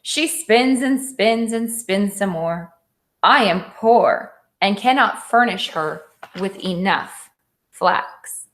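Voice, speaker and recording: A poor, ashamed storybook mother, read by a woman makes excuses plaintively. The delivery is slow, in a medium register. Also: mid-range voice, a bit bright. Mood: ashamed pleading. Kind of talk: storybook reading.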